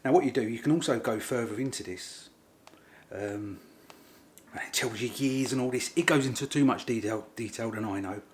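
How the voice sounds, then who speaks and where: A man's voice: medium pitch, normal speed, darkish tone, a little hiss or hum. A middle-aged man, vlogging in a rambling, casual way and often repeating himself. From England. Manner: rambling, repeats himself, informal working-class grammar. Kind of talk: casual chat to camera.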